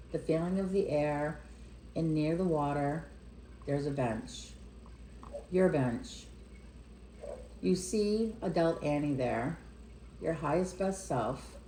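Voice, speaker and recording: A woman leading a guided meditation in a gentle, unhurried way, speaking in short phrases with pauses between them. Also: sits low, roomy sound, slight background noise, poor audio. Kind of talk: guided meditation, slow soothing narration.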